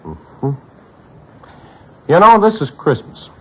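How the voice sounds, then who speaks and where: An actor playing a lovestruck, weary New crook who wants to go straight, in a 1940s radio drama with a husky voice. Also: male voice, on the high side, wildly swooping pitch, slow pace, exaggerated voice. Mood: earnest, hopeful. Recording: dull vintage radio recording.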